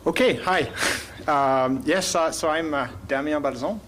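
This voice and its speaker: Man, French accent, conference panelist, halting delivery with fillers, light background noise. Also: fairly high pitch, a bit bright. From France. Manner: halting, many fillers and self-corrections. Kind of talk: conference presentation. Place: conference hall.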